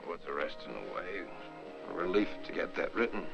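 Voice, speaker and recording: An actor playing a brooding, controlling invalid American husband with a gravelly voice and a deliberate, sardonic manner in a 1950s film drama. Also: male voice, low voice, big pitch swings, over loud music, full character voice. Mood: tired, terse. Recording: vintage film soundtrack with orchestral score.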